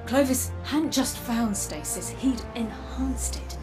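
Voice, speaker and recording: An actress playing a grave, guarded woman warrior in a video game, measured and solemn, her breathy voice dropping toward a whisper. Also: fairly high pitch. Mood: resolute, serious. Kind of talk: dramatic video game acting. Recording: game music underneath. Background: music.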